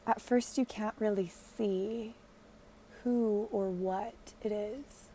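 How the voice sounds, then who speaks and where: Slow, mellow woman guiding meditation. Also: medium pitch, fairly even intonation, slight background noise. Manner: gentle, hushed delivery with long pauses. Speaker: guided meditation leader. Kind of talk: guided meditation, slow and soothing narration.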